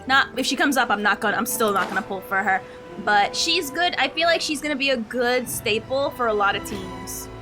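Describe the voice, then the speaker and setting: A woman's voice: fairly high pitch, animated, fairly quick, music in the background. A woman livestreaming a game, chatting casually with viewers in a playful, slangy, giggly manner.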